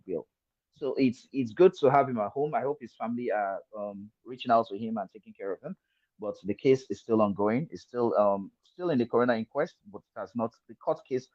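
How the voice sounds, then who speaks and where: A man's voice: fairly low pitch, a little mellow, no echo, dull audio. A man on an online panel, explaining step by step and posing rhetorical questions. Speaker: panel guest. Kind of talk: explanatory commentary.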